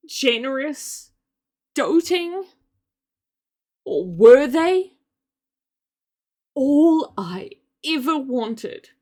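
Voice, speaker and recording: Melodramatic, spoiled murderous wife, wildly swooping. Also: female voice, high voice, voice pushed up, full character voice. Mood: sweetly menacing. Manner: melodramatic, drawn-out, emphatic delivery. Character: theatrical villainous murderous wife, spoiled, self-pitying, melodramatic. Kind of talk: comedic villain monologue.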